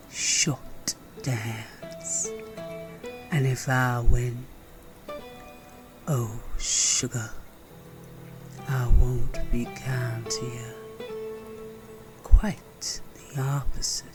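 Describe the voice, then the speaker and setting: A man playing a flirtatious, roguish werewolf bounty hunter in a Western audio roleplay, with a teasing, theatrical Southern American drawl. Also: mid-range voice.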